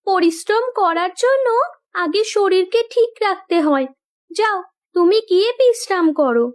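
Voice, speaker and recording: A woman playing an earnest young landlord's daughter in a Bengali cartoon, gently protesting and caring. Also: very high-pitched, lively intonation, measured pace.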